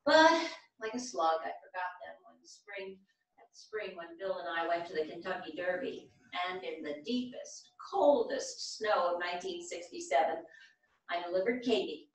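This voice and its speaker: A woman's voice: on the low side, expressive, normal speed. A woman playing a blunt, regretful elderly mother of six in a staged reading, plain-spoken and wry. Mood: wry recollection. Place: small theatre stage, seated at music stand. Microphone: far camera. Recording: very echoey theatre stage from far off.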